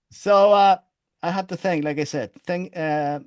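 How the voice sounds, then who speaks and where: Older spanish guy hosting a chat show, bit higher voice, rambles and swears, no room echo. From Spain. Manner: rambling, self-deprecating, profane. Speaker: interview show host. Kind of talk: casual interview chat. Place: indoor room on a video call.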